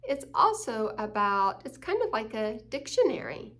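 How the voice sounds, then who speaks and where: A woman's voice: fairly high pitch, sing-song, moderate pace, fairly bright tone. A woman hosting a children's story time, reading a picture book aloud and explaining it with questions to the young listeners.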